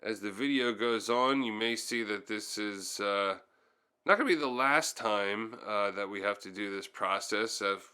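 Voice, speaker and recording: Male tutorial host for hands-on repair narration; lower register, smooth clear tone, informal delivery. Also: measured pace, pushed and bright. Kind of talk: hands-on how-to narration, casual.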